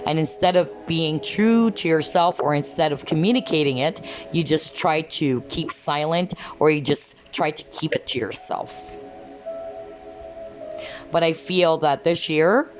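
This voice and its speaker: Low-pitched lady doing a tarot reading, voice getting hoarse, soft music behind. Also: unhurried. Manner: earnest, repeats "okay?" to viewers. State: losing her voice. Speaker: tarot card reader. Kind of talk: pick-a-card reading to camera.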